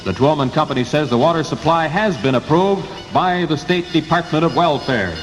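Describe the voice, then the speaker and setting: A man's voice: on the high side, normal speed, soft background music. A man with an American accent reading a scripted 1960s radio news bulletin in clipped headlines. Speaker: radio newsreader. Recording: 1960s radio aircheck, hissy, long reverb.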